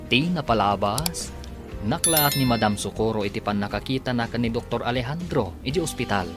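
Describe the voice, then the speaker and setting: With music playing strongly behind him, an Ilocano man narrates a radio serial. His scripted delivery is dramatic, and his pitch swings widely as he announces. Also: lively intonation, a little fast. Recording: prominent music under the voice.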